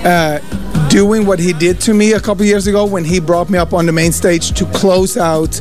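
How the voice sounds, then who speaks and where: A man's voice: high voice, steady tone, moderate pace. A man, a DJ being interviewed, talking casually with many fillers and restarts. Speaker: DJ guest. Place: festival radio booth. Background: music.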